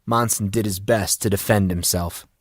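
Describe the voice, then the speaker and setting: A man's voice: lowish voice, fairly even intonation, normal speed, no room sound, studio quality. A man reading a story aloud as a scripted, dramatized audiobook narration.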